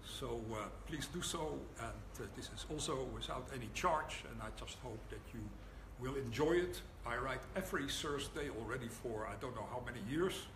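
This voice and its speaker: An older man with a Dutch accent gives a public lecture as a rabbi, speaking in a wry, deliberate way and pausing for the audience's laughter. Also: medium pitch. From Netherlands. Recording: echoey hall, audible room noise.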